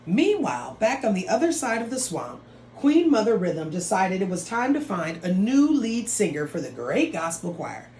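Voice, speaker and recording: A woman teacher reading a story aloud to students in an expressive, dramatic way, with drawn-out phrases and playful asides. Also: medium pitch. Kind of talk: expressive read-aloud of a picture book for students. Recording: roomy echo with some background noise.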